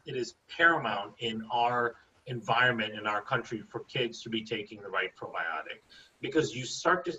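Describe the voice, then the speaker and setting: A man's voice: medium pitch, sharp tone, far from the mic, dull audio, slight background noise. A man from India, a microbiologist guest, explaining at length in an interview and often tagging sentences with "right?". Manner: explanatory, asks rhetorical "right?". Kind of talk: interview answers.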